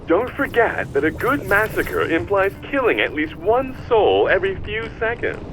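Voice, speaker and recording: An actor voicing a theatrical, bloodthirsty robot crime boss in a video game, gloating and commanding, with music behind. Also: male voice, high voice, sing-song, moderate pace, very noisy. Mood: gleefully murderous. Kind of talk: video game cutscene acting.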